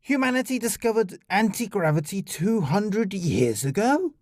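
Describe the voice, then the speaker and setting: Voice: medium pitch, lively intonation, normal speed, vocal fry, dark tone, no echo, clean studio sound. A performer voicing a haughty, irritable male alien scientist in an audio story, with a sneering, indignant, emphatic delivery. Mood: astonished, indignant.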